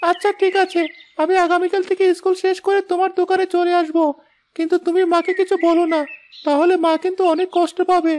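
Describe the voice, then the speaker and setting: A woman's voice: very high voice, level tone, fast talker, a little mellow, slight background noise. A woman voicing an earnest, dutiful schoolboy from a poor family in a cartoon moral story. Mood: earnest, determined.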